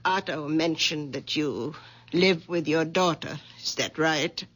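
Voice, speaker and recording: A flustered aunt in a 1970s radio mystery. She speaks slowly in broken, breathless phrases. Her voice is husky. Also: low voice. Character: older woman, the aunt, nervous then amazed. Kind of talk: 1970s radio drama acting.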